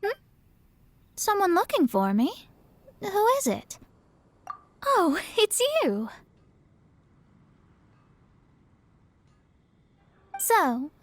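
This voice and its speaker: A woman voicing a proud, self-assured young astrologist in a video game, with a haughty manner. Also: high-pitched. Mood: curious.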